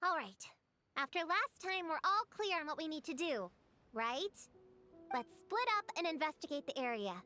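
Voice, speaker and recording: A woman's voice: sits high, animated, moderate pace, sharp tone, dry recording, soft background music. Bossy know-it-all little witch. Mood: earnest. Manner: earnest, bossy, lecturing. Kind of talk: game voice acting.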